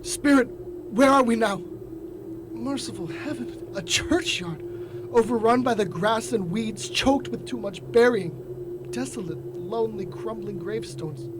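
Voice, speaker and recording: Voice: medium pitch, a little slow, noisy background, natural voice. A young adult performer playing an old repentant miser in a radio drama, theatrical and stammering. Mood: fearful, mournful.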